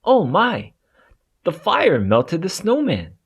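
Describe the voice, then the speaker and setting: A man narrating a children's storybook aloud in an expressive way for young listeners. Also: a little high, takes it slowly, husky.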